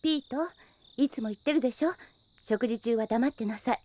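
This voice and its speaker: An actress playing a caring but strict twenty-year-old big sister in an audio drama. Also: female voice, fairly high pitch, a little fast, no echo, lightly acted. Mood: scolding. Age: young adult. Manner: polite to guests, strict with her brother. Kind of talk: Japanese audio drama acting. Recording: loud background music.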